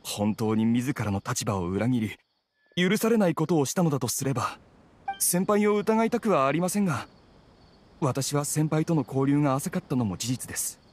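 A man's voice: mid-range voice, animated, normal speed, slight background noise, close to an everyday voice. An actor playing an earnest, respectful junior male official in a Japanese game, speaking politely and with measure. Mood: troubled, earnest.

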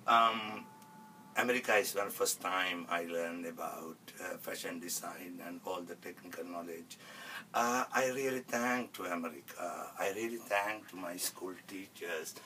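Man with a Sri Lankan accent, very low pitch, halting English with lots of ums, voice heard from a few steps off the mic. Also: sharp tone, distant mic, slight background noise, rough recording. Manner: halting non-native English with many fillers. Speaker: fashion designer. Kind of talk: self-introduction video. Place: cluttered sewing studio, indoors.

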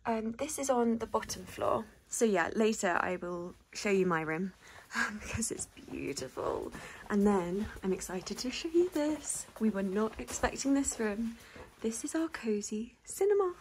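A woman's voice: mid-range voice, lively intonation, measured pace. Young woman, enthusiastic, chatty vlogger, phone camera, faint background hum. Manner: gushing, enthusiastic, many likes and ums. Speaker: lifestyle vlogger. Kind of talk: casual chatty vlog to camera. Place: indoors in a ski chalet.

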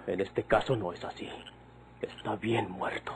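An actor playing a serious, worried rural companion with a Mexican accent, in old radio drama style. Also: male voice, on the low side, fairly even intonation, unhurried, in a whisper. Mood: grave worry.

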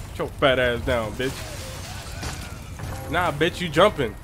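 A young man streaming a horror game from his room, reacting loudly with constant swearing and trash talk. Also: mid-range voice, expressive, slow pace, music in the background. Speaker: gamer streamer. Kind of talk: live gameplay reactions. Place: small room at home, indoors.

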